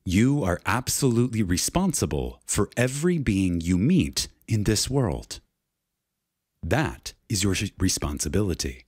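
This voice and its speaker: A man reading a spiritual lecture aloud in a reverent, dramatic way, solemn and emphatic, with deliberate pauses. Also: low-pitched, big pitch swings, slightly rough, no room sound, studio quality.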